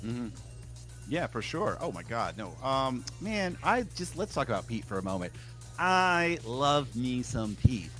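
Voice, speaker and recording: A man's voice: medium pitch, big pitch swings, unhurried, fairly bright tone, faint music behind. Emphatic, profane man on a podcast. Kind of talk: casual chat turning into opinionated rant.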